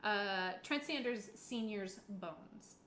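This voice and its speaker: A woman's voice: mid-range voice, takes it slowly, fairly bright tone. A woman running a tabletop roleplaying game, narrating casually and off the cuff with a playful, giggly manner. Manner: giggly, uses playful sound effects. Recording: echoey room with clear background hiss.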